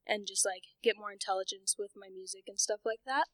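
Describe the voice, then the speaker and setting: A teenage girl, a high school senior and singer, giving encouraging advice with many fillers. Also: fairly even intonation, fairly quick, dry recording. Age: teens.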